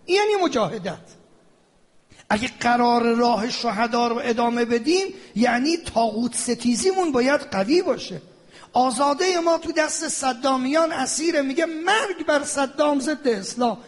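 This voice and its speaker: A man with an Iranian Persian accent preaching a fiery, emphatic sermon, repeating phrases insistently and asking rhetorical questions. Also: very high-pitched. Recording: long reverberant hall sound.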